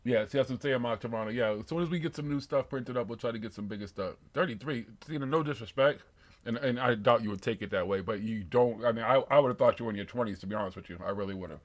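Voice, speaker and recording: Fast-talking, profane man in his fifties. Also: mid-range voice. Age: 50s. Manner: loose, profane, rambling storytelling. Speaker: podcast co-host. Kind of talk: casual live-stream chat reading comments.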